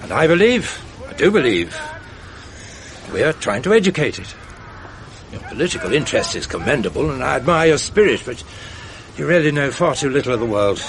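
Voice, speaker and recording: A genteel British mentor. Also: male voice, medium pitch, very animated, a little fast, slight background noise. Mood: calm, persuasive. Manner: patient, genteel and persuasive. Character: cultured, reassuring British gentleman mentor. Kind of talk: radio drama acting.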